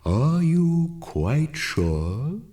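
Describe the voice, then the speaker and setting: Male reader doing an ancient talking apple tree: very slow, dark, heard from a bit off-mic. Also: on the high side, very animated, recorded from a distance, pitched above the performer's own voice, full character voice. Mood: solemn. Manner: slow, deliberate, correcting. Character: slow, wise old magic apple tree.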